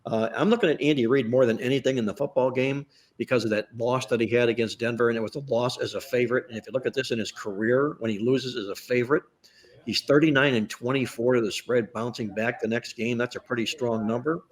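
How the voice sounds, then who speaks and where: A man's voice: medium pitch, steady tone, fast talker. A man hosting a sports betting podcast roundtable, chatty and stat-heavy, joking along the way while he is losing his voice. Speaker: podcast host.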